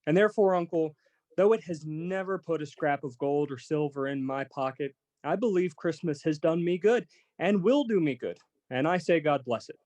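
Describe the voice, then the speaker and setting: A man plays a cheerful young nephew with a slightly high, earnest voice. He pleads his case with good nature in a radio drama. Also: moderate pace, darkish tone, lightly acted. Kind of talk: radio play acting.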